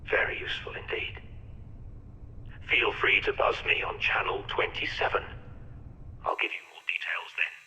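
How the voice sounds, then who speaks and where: A man playing an eccentric, sinister chief surgeon in a video game, speaking with florid, mocking courtesy in a breathy half-whisper. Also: normal speed, pauses often, sharp tone. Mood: mocking, condescending. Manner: theatrical, florid, mocking politeness. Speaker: game voice actor. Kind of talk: video game cutscene acting. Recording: echoey intercom in a reverberant hall.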